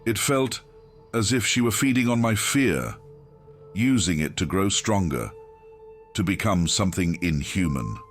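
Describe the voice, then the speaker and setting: Male narrator, extremely low pitch, grave and brooding, scripted horror audiobook over music. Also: lively intonation, measured pace. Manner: grave, brooding, dramatic first-person telling.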